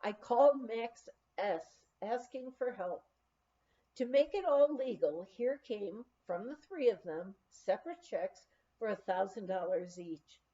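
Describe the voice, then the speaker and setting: A woman's voice: medium pitch, expressive, unhurried, mellow, highs cut off. A woman reading a memoir aloud as a storyteller, with emphatic phrasing. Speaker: audiobook reader.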